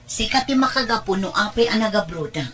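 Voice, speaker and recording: A woman's voice: medium pitch, lively intonation, fairly quick, a bit bright, close to an everyday voice. A woman playing a tired, self-sacrificing mother in a radio drama, with a Filipino accent and a placating manner. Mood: pleading. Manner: placating, long-suffering. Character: weary, self-sacrificing mother working abroad. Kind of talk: Ilocano radio drama acting.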